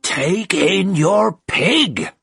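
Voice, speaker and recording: A woman voicing a wise old man in a storybook, who ponders and gives brief, measured pronouncements. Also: lowish voice, lively intonation, gravelly, over loud music, voice pushed down, exaggerated voice. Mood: pondering, calm.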